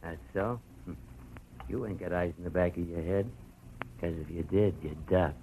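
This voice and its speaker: Deep-voiced sly radio crook played by a man. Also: normal speed, dark tone, lightly acted, rough recording. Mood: smug, menacing. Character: shady male crook with gold teeth, sly, menacing. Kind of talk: 1940s-50s radio drama acting. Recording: faintly hissy old radio recording.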